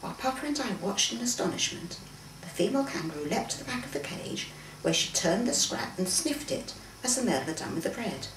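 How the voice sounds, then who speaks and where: Woman, expressive story reader, animated pitch, roomy live recording, mic set back. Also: fairly quick. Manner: acts out the dialogue, with occasional stumbles. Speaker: author reading own fiction aloud. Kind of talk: expressive story reading from a manuscript. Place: room in a private home, live reading event. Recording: roomy, distant mic, faint background noise.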